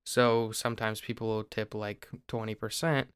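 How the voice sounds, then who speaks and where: Smooth-voiced young man from Ohio. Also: lowish voice, normal speed, no echo, studio quality. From Ohio, USA. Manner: relaxed, explains step by step with small restarts. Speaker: podcast host. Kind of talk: casual explanatory chat.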